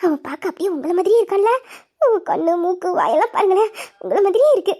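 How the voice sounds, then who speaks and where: A woman acting a gentle, affectionate young wife and new mother in a melodramatic audio drama. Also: extremely high pitch, expressive, fast talker. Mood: tender.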